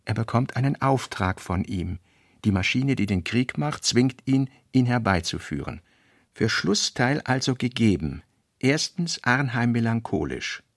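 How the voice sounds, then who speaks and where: A man reading an author's fragmentary working notes for a radio play, slowly and deliberately. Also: mid-range voice, expressive, no echo, clean studio sound. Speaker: reader.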